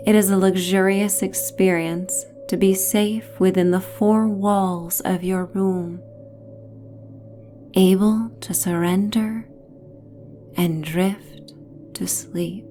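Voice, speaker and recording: A woman guides a bedtime visualization at a very slow, hypnotic pace. Her voice is mellow and relaxed, with a medium pitch and gentle phrases that fade toward a breathy whisper. Soft music plays faintly behind her. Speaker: narrator and host of sleep stories. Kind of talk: guided sleep story narration, slow and hypnotic.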